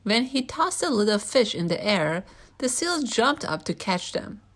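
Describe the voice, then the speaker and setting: A woman's voice: a little high, sing-song, a little slow. A woman reading a children's picture book aloud as a storyteller.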